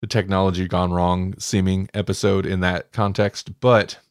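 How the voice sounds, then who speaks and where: A man's voice: low voice, little pitch movement, normal speed, no room sound, studio quality. A man co-hosting a podcast, talking through stories in an informal, conversational way as if thinking aloud. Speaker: podcast co-host. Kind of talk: casual discussion and recap of audio dramas.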